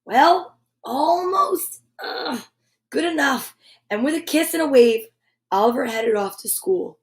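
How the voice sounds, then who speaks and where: A woman hosting children's storytime, reading a picture book aloud with playful, drawn-out sound effects and repeated words. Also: high-pitched, animated.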